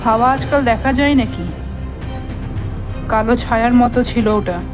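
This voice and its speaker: A woman's voice: on the high side, normal speed, music in the background, lightly acted. A woman voicing an uneasy young wife in a horror cartoon. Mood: uneasy, doubting.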